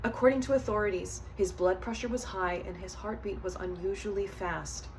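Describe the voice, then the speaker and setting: A woman's voice: medium pitch, fairly even intonation, normal speed. A woman giving a formal scripted memorial reading. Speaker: reader in an online remembrance video. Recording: distant mic, background ambient noise.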